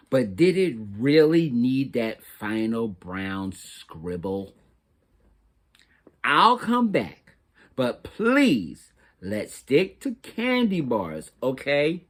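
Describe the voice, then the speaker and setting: A man's voice: mid-range voice, a bit bright, exaggerated voice. A man voicing an embarrassed, resentful crayon in a storybook, muttering and sarcastic.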